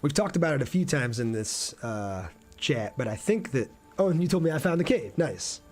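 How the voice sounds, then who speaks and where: A man with a mid-pitched voice commentates on his own game livestream. He talks casually, thinks aloud as he plays and chats in a friendly way with his viewers. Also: big pitch swings, moderate pace, soft background music. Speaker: game streamer. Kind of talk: casual livestream gameplay commentary with chat replies.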